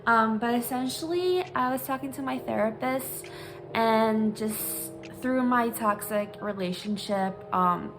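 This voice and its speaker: A woman's voice: fairly high pitch, a little slow, music in the background. A woman from Singapore in her twenties, candidly sharing her personal story in a hesitant, um-filled vlog, reading from talking points on her phone. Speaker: vlogger, psychology grad student.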